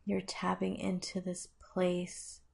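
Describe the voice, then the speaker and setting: A woman with a slightly low voice leads a guided meditation. She speaks very slowly, giving measured instructions and leaving long pauses between her phrases. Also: completely flat delivery, creaky, darkish tone, slightly rough.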